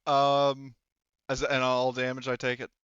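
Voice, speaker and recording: A man's voice: mid-range voice, completely flat delivery, measured pace, fairly bright tone, smooth, dull audio. A man running a tabletop roleplaying game as game master, casual and joking, with some swearing. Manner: joking, profane banter.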